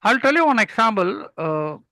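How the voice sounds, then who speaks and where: A man's voice: high-pitched, big pitch swings, normal speed, sharp tone, no echo. An older man with an Indian accent, speaking as a mentor in an interview and telling anecdotes in an emphatic storytelling way. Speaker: public speaking mentor and guest.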